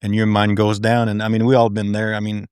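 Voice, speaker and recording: Quick, low-voiced French Canadian man. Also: completely flat delivery, a little mellow, smooth, no room sound, clean studio sound. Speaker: podcast guest. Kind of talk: casual interview chat.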